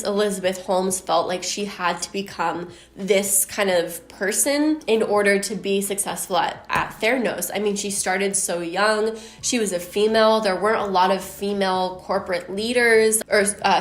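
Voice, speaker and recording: Woman w a bit of a deep voice, chatty storytelling, lots of "like", roomy echo. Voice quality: somewhat deep voice. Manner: chatty, uses "like" often. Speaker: YouTube storyteller. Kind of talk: casual commentary storytelling.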